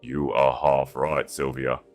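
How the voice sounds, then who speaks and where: An icy noblewoman as performed by a male narrator speaks in a low register over faint music. She is smooth, deliberate and commanding, cutting people down in the manner of a period romance audiobook. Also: level tone, full character voice. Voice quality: quiet, smooth. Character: cold, composed noblewoman, quietly commanding and cutting.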